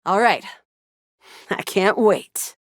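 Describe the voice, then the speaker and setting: A woman's voice: medium pitch, extremely slow, no echo, clean studio sound. A woman voicing a feisty, sardonic but loyal sci-fi gamer heroine in a video game, casual and slangy. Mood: wistful, determined. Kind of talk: video game character acting.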